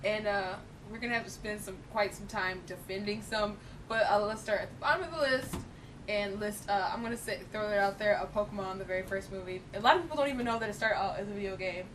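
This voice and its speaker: A young woman co-hosting a geek show in casual, opinionated chat, with an animated, giggly, emphatic manner. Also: fast. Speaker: co-host of a geek culture show. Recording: distant mic with hissy, rumbly noise.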